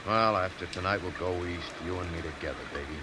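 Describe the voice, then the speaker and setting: An actor playing a bitter, curt, sardonic ex-convict crook in 1940s radio drama style. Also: male voice, low-pitched, fast talker, close to an everyday voice. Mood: bitter, cool. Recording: noisy old radio broadcast, rain-like hiss.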